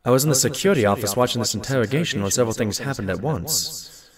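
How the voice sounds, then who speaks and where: A man playing a pony who narrates events in the first person, with loud crowd noise behind him. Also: mid-range voice, lively intonation, quick pace, close to an everyday voice. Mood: recounting.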